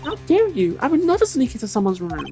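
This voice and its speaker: A streamer voicing a jumpy, easily flustered young woman security guard in a shrill, high voice. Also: huge pitch swings, fast talker, dark tone, faint music behind, heavily acted. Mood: outraged.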